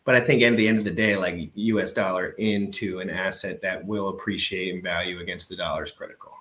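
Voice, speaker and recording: A man giving brash, profane, excitable commentary while reacting to an old crypto video. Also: low voice, a little fast.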